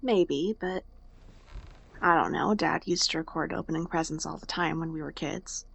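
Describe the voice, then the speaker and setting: Low-voiced, sardonic young woman, played by an actress. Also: normal speed, gravelly, fairly bright tone, husky, full character voice. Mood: playful teasing. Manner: dry, blunt, teasing sarcasm. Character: sardonic young woman, hurt but fondly teasing older-sister type. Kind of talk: naturalistic audio drama acting.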